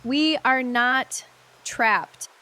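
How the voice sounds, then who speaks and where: A woman playing a plucky amateur sleuth in an audio drama, with halting, wry banter and forced optimism. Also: high voice, pushed and bright, exaggerated voice. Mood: wry, uneasy. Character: plucky female amateur sleuth, jokey and reassuring in danger.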